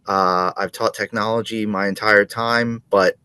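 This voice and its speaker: Bright, dry-sounding male teacher. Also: on the low side, steady tone, a little slow, clear, resonant tone, dry recording. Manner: casual, hesitant fillers. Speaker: robotics teacher. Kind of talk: self-introduction on a video call.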